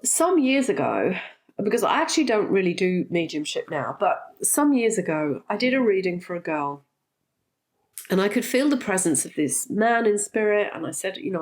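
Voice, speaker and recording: A middle-aged woman talks straight to her viewers in a relaxed, candid way, pausing on frequent ums. Her voice is stuffy and congested from a recent cold. Her pitch sits in an ordinary middle range. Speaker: spiritual teacher and vlogger. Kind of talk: casual talk to camera.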